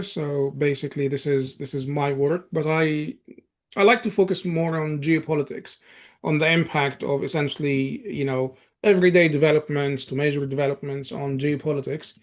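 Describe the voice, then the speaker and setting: A man's voice: a little high, fairly even intonation, moderate pace. Egyptian-born man, friendly researcher. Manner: friendly, cooperative, self-introducing plainly. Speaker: national security researcher, guest. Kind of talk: conversational panel discussion. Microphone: video call.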